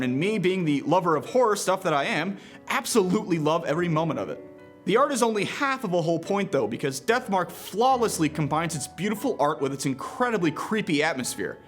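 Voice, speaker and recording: A man narrating a scripted video game review in a playful, conversational manner, with casual asides and emphatic delivery. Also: fairly high pitch.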